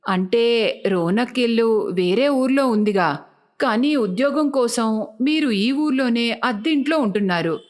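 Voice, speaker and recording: A woman's voice: on the high side, pitched below the performer's own voice, natural voice. A woman voicing a scheming, lovestruck middle-aged stepmother in a cartoon story, with a coaxing, insistent manner.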